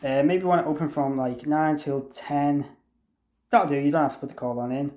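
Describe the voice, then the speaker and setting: Higher-voiced man giving an echoey app walkthrough. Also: fairly even intonation, brisk, warm tone. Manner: explanatory, conversational, thinking aloud. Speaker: demonstrator presenting a product tutorial. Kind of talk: informal software walkthrough, unscripted. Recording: roomy, echoey room sound.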